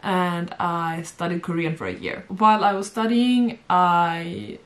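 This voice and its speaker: A young woman from Sweden recounts her modeling years in relaxed, chatty speech. Her voice sits slightly low and often breaks into giggles. She talks on camera at home, seated beside a friend. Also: a little slow. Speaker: former model, guest. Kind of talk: casual storytelling chat. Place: bright home room, seated on sofa.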